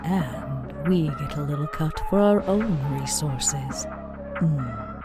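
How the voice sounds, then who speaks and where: A woman's voice: sits low, takes it slowly, music in the background. A woman playing a charming, self-assured female vampire, theatrical and arch, in a tabletop roleplay podcast. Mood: playful, promotional. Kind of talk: tabletop roleplay and in-character sponsor read.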